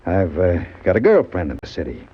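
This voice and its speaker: A man playing a big, shifty pool parlor owner of about forty in a radio crime drama, with a husky voice and an urgent, insistent manner. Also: sits low, wildly swooping pitch, brisk, a little hiss or hum. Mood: uneasy, furtive. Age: middle-aged. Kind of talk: old-time radio crime drama acting. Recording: dull vintage radio recording.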